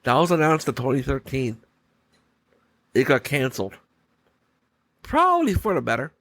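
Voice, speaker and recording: A man's voice: medium pitch, wildly swooping pitch, normal speed, fairly bright tone, no room sound. Man podcasting in a very dry recording. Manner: opinionated, informal. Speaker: podcast host. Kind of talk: casual solo podcast commentary.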